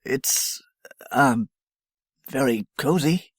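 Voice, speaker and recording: A man's voice: mid-range voice, expressive, very slow, breathy edge, exaggerated voice. A man playing a nervous, weedy scientist in an amateur audio drama, hesitant and timid, stammering as he talks. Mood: nervous politeness.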